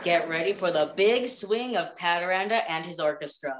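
A man's voice: sits high, normal speed, lightly acted. A man playing a hip, jive-talking 1940s radio announcer with showy, slangy patter. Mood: excited, showy. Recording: loud noise and crowd sounds.

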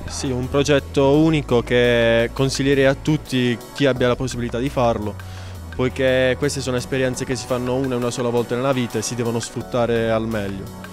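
A man's voice: mid-range voice, flat delivery, fairly quick. A young man, a student trainee, giving an interview answer into a handheld microphone indoors. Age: young adult. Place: classroom, indoors. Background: music.

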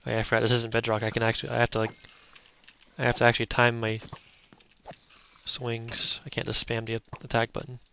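A young man giving relaxed, chatty gameplay commentary, thinking aloud as he plays. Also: mid-range voice, fast, vocal fry, slight background noise. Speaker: gaming YouTuber.

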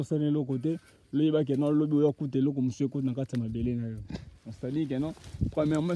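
A man chats through a casual report from open grassland and switches freely between languages. His voice sits fairly high. Clear outdoor noise runs under the phone recording. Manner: mixes Lingala and French, chatty, repeats words. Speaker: reporter presenting a building project.

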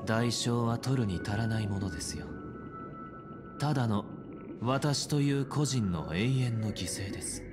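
An actor playing a calm, idealistic male leader in a video game, speaking in polite, measured, solemn persuasion. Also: medium pitch, lightly acted. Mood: solemn, serene. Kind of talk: Japanese video game voice acting. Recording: music under the voice.

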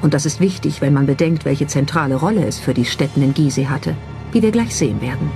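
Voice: mid-range voice, animated, fast. A narrator reading a scripted documentary. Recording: music behind the voice. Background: background music.

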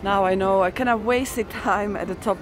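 A woman's voice: medium pitch, steady tone, normal speed, over music. A woman giving casual, off-the-cuff commentary as she vlogs outdoors on busy city streets at night. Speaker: walking tour vlogger. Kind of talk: casual off-the-cuff vlog narration. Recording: loud street crowd and traffic noise. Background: crowd and street traffic.

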